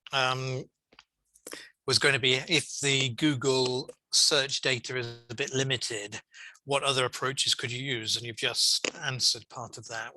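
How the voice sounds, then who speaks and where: A man's voice: medium pitch, sharp tone, no room sound, low-quality recording. A man hosting an online conference session, giving encouraging, rambling feedback in an informal way. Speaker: conference host and session chair. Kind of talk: informal chairing and feedback over video call. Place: online video call.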